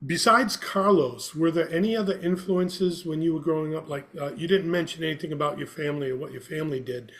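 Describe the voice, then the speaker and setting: A man's voice: on the high side, fairly quick, few pauses, a bit bright. A man hosting a podcast, asking short follow-up questions. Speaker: podcast host. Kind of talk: casual interview questions.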